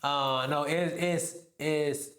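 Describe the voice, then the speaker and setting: Animated young man, echoey room. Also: on the high side, takes it slowly. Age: 20s. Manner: animated, laughs often, says "bro". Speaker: podcast host. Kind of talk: casual conversation.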